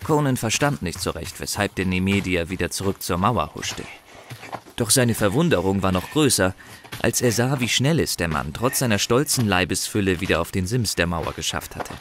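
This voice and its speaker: Low-voiced male dramatic storyteller. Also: lively intonation, fairly bright tone. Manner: suspenseful, measured phrasing with dramatic pauses. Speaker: narrator. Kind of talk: dramatic scripted story narration. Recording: slight background noise.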